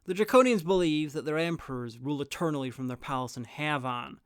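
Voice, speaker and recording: Clear, dry-sounding male reviewer. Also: on the high side, fast talker, no echo. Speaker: reviewer and guide host. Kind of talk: scripted review narration with audio clips.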